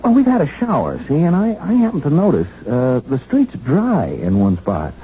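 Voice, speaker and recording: An actor playing an earnest, bashful young patrolman in a 1970s radio drama, speaking in a halting, polite way. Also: on the high side, huge pitch swings, warm tone, breathy edge, full character voice. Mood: puzzled, curious. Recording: muffled 1970s radio broadcast.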